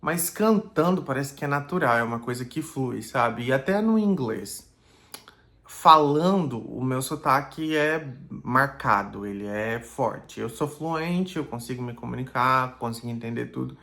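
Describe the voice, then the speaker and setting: A man's voice: medium pitch, animated, measured pace, vocal fry, bright, forceful tone. Pastor guy, middle-aged, easygoing chat, lots of little fillers, mid voice. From Brazil. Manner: thoughtful, many fillers like "né" and "é". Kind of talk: casual reflective vlog.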